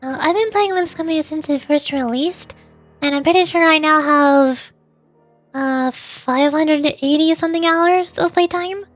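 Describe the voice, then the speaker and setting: A woman's voice: sits high, a little slow, faint music behind. A woman chatting casually and in a rambling way as she livestreams a game. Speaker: streamer, VTuber. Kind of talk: casual livestream chat while gaming.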